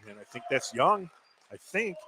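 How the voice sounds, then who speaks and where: Male color analyst, slightly high voice, conversational live basketball commentary. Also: lively intonation, normal speed, pushed and bright, a little hiss or hum. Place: at a basketball game.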